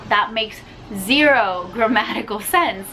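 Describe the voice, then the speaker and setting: A woman's voice: a little high, a little slow, pushed and bright, a little hiss or hum, rough recording. A young woman whose first language is Spanish, chatting casually and ranting animatedly about a book, with lots of fillers. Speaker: book vlogger. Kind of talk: casual book review chat.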